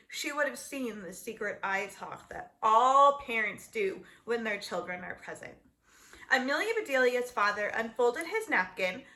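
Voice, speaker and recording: A woman narrating a children's book aloud, expressively and with deliberate emphasis. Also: on the high side, sharp tone, low-quality recording. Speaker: read-aloud storyteller.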